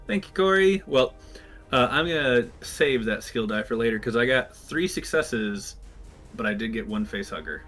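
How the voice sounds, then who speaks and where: A man's voice: medium pitch, animated, normal speed, a bit bright, soft background music. A man playing a tabletop game, talking through his rolls and giving curt orders in character as a captain. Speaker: player.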